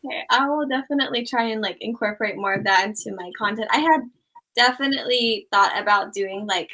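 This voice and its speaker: Young woman with a creaky voice. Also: on the high side, a little fast, low-quality recording. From Thailand. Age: young adult. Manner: relaxed, rambling, many "like" and "um" fillers. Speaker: guest, small YouTuber. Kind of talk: casual interview chat.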